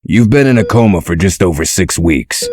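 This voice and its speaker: A man playing a gruff, protective male character in a comic dub, speaking in a measured, dry, explanatory way. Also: low voice, expressive, darkish tone. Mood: calm, explanatory.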